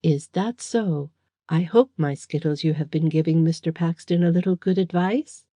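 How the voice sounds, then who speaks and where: A woman's voice: sits low, normal speed, warm tone, no echo. A woman voicing a sinister foreign-accented male villain who speaks with a constant sneer. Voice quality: sneering, insinuating.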